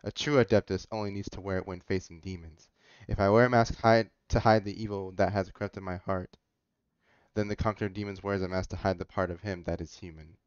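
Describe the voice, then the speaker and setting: A man's voice: deep voice, fairly even intonation, brisk, clean tone, dry recording. A man giving casual game commentary and reading dialogue aloud, on a dull-sounding close mic with faint music. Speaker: gamer commentating a playthrough.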